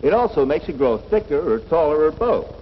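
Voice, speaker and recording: A man's voice: on the high side, big pitch swings, a little fast. A man playing a friendly, patient school coach who explains things plainly and reassuringly in an educational film. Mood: patient explaining. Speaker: coach explaining puberty to boys. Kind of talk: educational film narration and scripted dialogue. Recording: dull old film soundtrack, echoey, faint noise.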